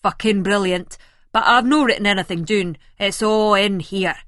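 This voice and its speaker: A woman voicing a cheeky, enthusiastic Scottish lad with a loud, chummy manner in an audiobook. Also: mid-range voice, moderate pace, no room sound, studio quality, natural voice.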